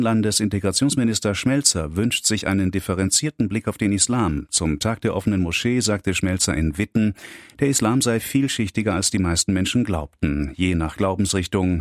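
A man with a low voice reads scripted radio news. His delivery is neutral and formal, and the studio sound is very dry. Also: moderate pace, no room sound.

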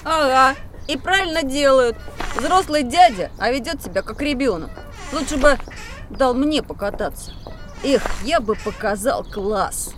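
A woman's voice: high voice, a little slow, music in the background. A woman playing an eager, cheeky, boastful schoolboy in a scripted children's educational audio drama. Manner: lively, boyish, expressive delivery.

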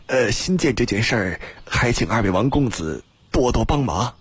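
Audiobook role: heavyset official's henchman, played by a male narrator, obsequious, hesitant, very husky. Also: mid-range voice, expressive, moderate pace, no echo, pitched above the performer's own voice. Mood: earnest, pleading. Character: fawning heavyset government agent, deferential and hesitant.

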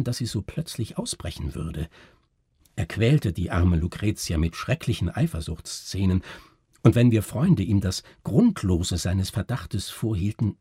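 A grave, educated doctor, acted by a male narrator from a literary tale. He speaks formally and broodingly, with a very husky, mellow voice that stays close and dry. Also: sits low, no room sound, full character voice.

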